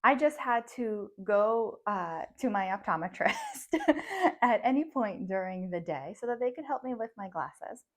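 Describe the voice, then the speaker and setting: A woman's voice: medium pitch, big pitch swings, a little slow. A woman in her thirties, a psychologist and coach whose first language is Russian, talking reflectively to camera and laughing often between thoughts. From grew up in the United States from age ten, born abroad. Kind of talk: personal talk to camera.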